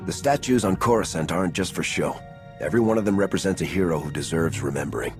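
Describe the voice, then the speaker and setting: Man playing a deep-voiced calm squad leader. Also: fast talker, soft background music, close to an everyday voice. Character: composed male squad commander, dry humor. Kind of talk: video game cutscene acting.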